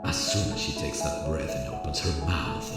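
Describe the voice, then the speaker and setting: A man playing a gentle, encouraging theater director who is secretly smitten, in a breathy, gravelly scripted ASMR audio roleplay. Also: rumbling low, normal speed, loud music behind the voice. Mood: smitten musing. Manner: gentle, encouraging, soft chuckles. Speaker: voice actor.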